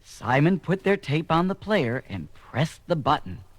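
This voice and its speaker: Animated male children's storyteller. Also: on the high side, a little slow, heavy background noise. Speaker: narrator. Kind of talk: scripted children's story narration.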